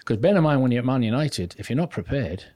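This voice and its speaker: A man's voice: mid-range voice, animated, quick pace, warm tone, no room sound. An older man from north-east England, a podcast guest telling long, rambling stories about his coaching days in a chatty interview style. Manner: chatty, rambling, restarts sentences, acts out quotes. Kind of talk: casual anecdotal storytelling.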